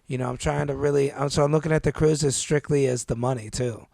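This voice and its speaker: A man co-hosting a podcast, telling travel stories in a laid-back, animated way and calling his listener dude and bro. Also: medium pitch, fairly quick, gravelly, dry recording, studio quality. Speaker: podcast co-host. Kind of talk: casual chat. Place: New York.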